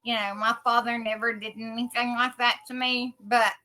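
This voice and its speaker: A woman's voice: fairly high pitch, level tone, moderate pace, bright, forceful tone. A woman talking casually as a co-host on a true-crime podcast.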